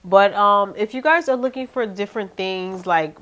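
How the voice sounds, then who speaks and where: A woman's voice: medium pitch, moderate pace. A young woman with a nasal, congested voice chatting to her viewers about her shopping haul in her living room. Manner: chatty, animated, talks to viewers directly. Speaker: vlogger. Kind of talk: casual haul video chat.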